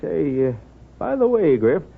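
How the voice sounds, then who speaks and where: Sly folksy small-town doctor, tinny old radio. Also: male voice, on the high side, big pitch swings, measured pace, gravelly, husky, exaggerated voice. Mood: sly, kindly. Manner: folksy, drawling hems and haws, sly. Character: wise, sly, humorous small-town family doctor, man. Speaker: radio drama actor in the lead role. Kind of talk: 1930s radio drama acting. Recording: tinny, narrow 1930s radio broadcast.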